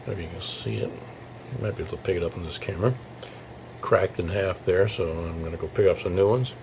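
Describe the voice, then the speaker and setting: Male hobbyist presenter, low creaky voice, informal and halting delivery, faint background noise on a camera mic. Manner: halting, thinking aloud while showing parts. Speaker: hobbyist radio restorer presenting his project. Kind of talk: casual informal video walkthrough.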